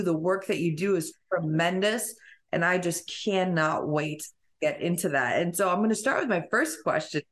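Woman, podcast host, effusive, gushing, fairly low voice. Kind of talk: casual interview.

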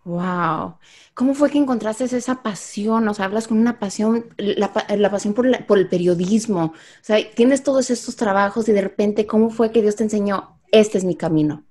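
A woman's voice: medium pitch, moderate pace, darkish tone. A woman hosting an interview, asking questions in an admiring, effusive way. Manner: admiring, effusive, spiritual framing. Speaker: interviewer, host. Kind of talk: conversational interview.

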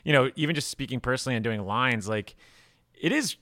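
Bright-voiced man rambling casually, very dry sound. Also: medium pitch, animated, a little fast, dry recording. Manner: informal, rambling, peppered with "like". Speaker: podcast co-host. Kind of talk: casual chat.

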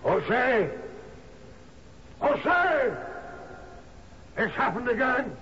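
A man's voice: very high-pitched, big pitch swings, very slow, sharp tone, soft background music, exaggerated voice. An actor playing a distraught, insistent bereaved father in a 1970s radio drama, speaking in short emphatic phrases. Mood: anguished. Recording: muffled, noisy 1970s radio broadcast.

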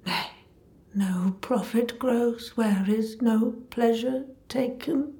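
A woman's voice: on the high side, slow pace, mellow. A woman playing a noble, long-suffering Shakespearean queen in a comic audio drama, speaking in stately archaic diction. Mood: stoic.

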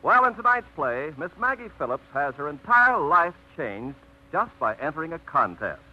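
A male announcer giving a smooth, ceremonious introduction to a radio drama. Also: a little high, sing-song, normal speed. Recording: dull old radio broadcast with music.

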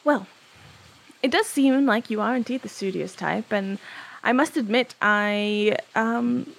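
A woman voicing a polite, studious young male scholar in a put-on British accent, with formal, measured speech. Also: on the high side, vocal fry, poor audio. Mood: courteous.

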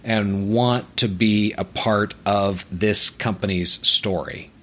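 A man co-hosting a podcast, speaking in a thoughtful, measured way with frequent "you know.". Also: on the low side, steady tone, a bit bright. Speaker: podcast co-host. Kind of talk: conversational podcast chat.

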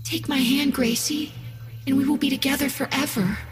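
A man's voice: very high-pitched, fairly even intonation, moderate pace, voice pushed up. A man voicing a sinister living girl doll with a small, sweet voice and a whining, coaxing manner. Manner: whiny, pleading. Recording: long hall reverb, loud music behind.

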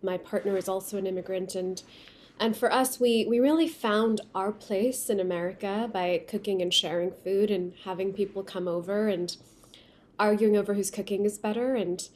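A woman's voice: medium pitch, fairly even intonation, normal speed, a little hiss or hum. Pakistan-raised woman founder, conversational. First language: Urdu. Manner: warm storytelling with frequent restarts. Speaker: guest, company founder. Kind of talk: remote interview conversation. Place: remote call from home.

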